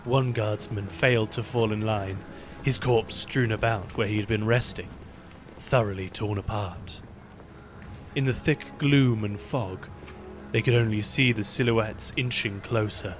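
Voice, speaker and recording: A man's voice: lowish voice, noisy background. Man narrating a grim fantasy battle. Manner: measured storytelling. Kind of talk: dramatic scripted narration.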